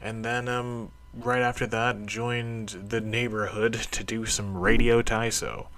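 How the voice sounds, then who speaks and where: Low-pitched man rambling through a casual diary. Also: flat delivery, slow, fairly bright tone. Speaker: karate practitioner keeping a training log. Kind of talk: casual solo audio diary.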